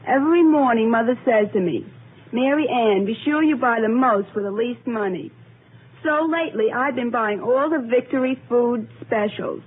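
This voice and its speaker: A tinny, narrow old radio recording carries a teenage American girl. Her voice is fairly high and husky, and she recites a scripted 1940s public appeal with earnest care. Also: normal speed. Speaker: public service spot speaker.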